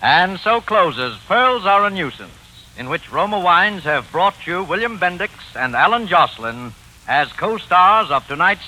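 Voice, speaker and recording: A man's voice: high-pitched, low-quality recording. A man announcing a radio drama programme in a formal 1940s broadcast style. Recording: old radio recording with loud music.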